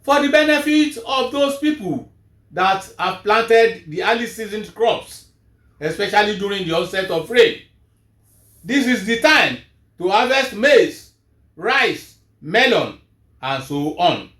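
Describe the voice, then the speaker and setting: A man, a Yoruba speaker, giving a measured, emphatic farm advisory talk as host of a farming show. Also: high-pitched, animated, a bit bright, reverberant. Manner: measured, emphatic, rising then falling at each phrase.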